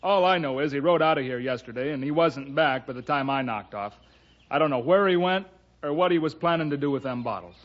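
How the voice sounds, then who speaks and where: A wary, rough-spoken builder, played by a man in a vintage radio western. His voice sits a little high and comes out halting and defensive. The recording is somewhat dull. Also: lightly acted. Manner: folksy ungrammatical speech, halting, wary. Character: grudging, defensive working-class male builder. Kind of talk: old-time radio western acting.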